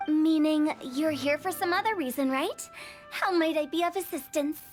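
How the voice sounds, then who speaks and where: Woman playing a quirky young game character, cheeky, theatrical, girlish, very high pitch, music behind. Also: normal speed, close to an everyday voice. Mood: playful. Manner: playful, offbeat, theatrical. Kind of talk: video game voice acting.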